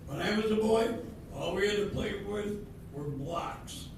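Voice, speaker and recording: A man's voice: high-pitched, a little slow, vocal fry. A man playing a grumpy, old-fashioned grandfather in an amateur readers theatre, blustering with emphatic exclamations. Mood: grumbling. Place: senior center hall, live performance. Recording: echoey hall, distant mic, noisy room.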